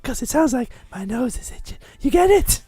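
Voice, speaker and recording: Female gaming co-host, animated and high-pitched, casual banter and read-aloud dialogue. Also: a little fast, husky, no echo. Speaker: let's play co-host. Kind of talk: voicing visual novel characters, casual gaming banter.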